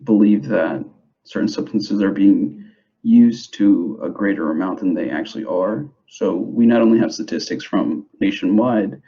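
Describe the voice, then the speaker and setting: A young man who grew up in the United States, giving an informal presentation to parents in a hesitant, halting way, on a dull, muffled recording. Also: low-pitched, dark tone. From grew up in the United States, born in El Salvador. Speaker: school substance abuse prevention specialist.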